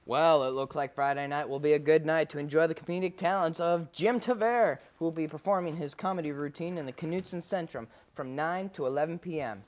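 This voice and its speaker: A man's voice: fairly high pitch, a little fast, hardly any pauses. Give me a young man with dry humor hosting student radio. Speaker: college radio co-host. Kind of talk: casual chat and read announcements.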